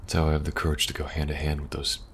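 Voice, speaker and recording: An extremely low, fry-laden voice from a male actor gives a young male special agent a soft, protective air. He reassures gently and a little hesitantly, with wry humor, in an ASMR audio roleplay. Noisy ambience sits behind him. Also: monotone, very fast. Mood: steady, encouraging. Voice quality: gravelly, breathy at times. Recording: audible background noise, ambience.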